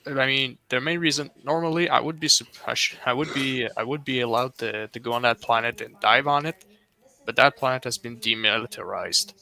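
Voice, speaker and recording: A man whose first language is French talks over a home mic on a voice call while playing a game. His voice is gravelly with a sharp, tense brightness, and he explains things earnestly, restarting his sentences often. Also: mid-range voice, a little fast. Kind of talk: casual chat while gaming.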